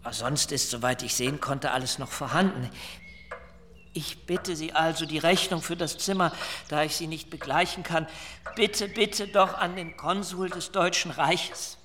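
An earnest, fussy colonial-era traveller, noisy ambience. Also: male voice, fairly high pitch, moderate pace, pushed and bright. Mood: hesitant, pleading. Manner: hesitant, polite, formal storytelling. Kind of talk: radio drama acting and first-person narration.

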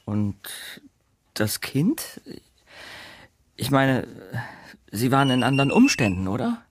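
Polite young guy asking questions, gentle, lively intonation, dry close sound. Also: mid-range voice, slow pace, no room sound, full character voice. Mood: probing. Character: polite, probing young fiancé turned amateur investigator. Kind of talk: German radio crime drama acting.

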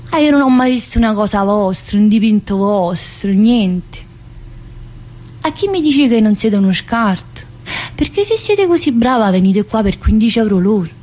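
A woman's voice: medium pitch, animated, normal speed, darkish tone, lightly acted. An actress playing a brash, restless middle-aged female prison inmate in a theatrical monologue, with a southern Italian accent and a chatty, confrontational manner. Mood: scornful, challenging. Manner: chatty, confrontational, with frequent interjections and questions. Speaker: stage actress performing a monologue.

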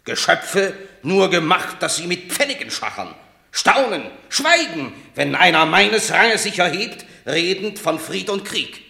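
Stubborn proud roman soldier, old radio play, swoops up and down, bright gritty voice, declaims indignantly. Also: male voice, high voice, very animated, unhurried, gravelly, full character voice. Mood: proud indignation. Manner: declamatory stage diction, indignant. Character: proud, unbending Roman warrior son. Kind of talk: classic German radio drama acting. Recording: roomy radio drama studio sound.

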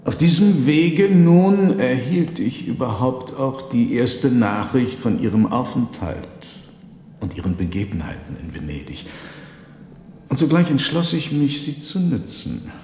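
Captive conjurer, radio drama, resigned, candid, deferential, reverberant room, faint noise. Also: male voice, mid-range voice, lively intonation, measured pace, dark tone. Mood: candid, explaining. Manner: formal, deferential. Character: defeated male conjurer prisoner, candid and resigned. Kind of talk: German radio drama acting. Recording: echoey hall, audible background noise.

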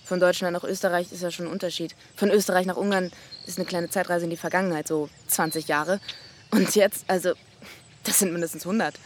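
An actress playing a determined young backpacker in a naturalistic German radio drama. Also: female voice, lowish voice, steady tone, a little fast, a little hiss or hum, natural voice. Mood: matter-of-fact. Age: young adult. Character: young woman backpacker, determined, searching for a mysterious train.

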